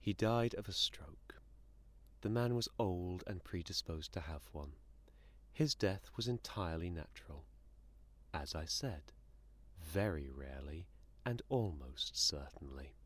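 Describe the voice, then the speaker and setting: A man playing a menacing, condescending inhuman entity in an audio drama, speaking in a sardonic, theatrical and deliberate manner. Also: sits low, lively intonation. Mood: detached, matter-of-fact.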